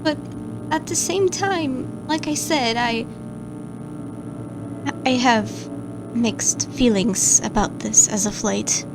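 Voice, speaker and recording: A woman's voice: fairly high pitch, slow, long pauses, poor audio. A woman playing an anxious, guilt-ridden watcher of camera feeds, who stammers and hesitates as she narrates a horror log over music.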